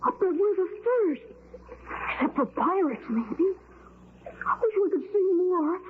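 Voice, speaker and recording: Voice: extremely high pitch, a little slow, heavily acted. A performer playing an eager, adventurous young boy in 1940s radio drama style. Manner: eager, excitable. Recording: noisy tinny old radio recording.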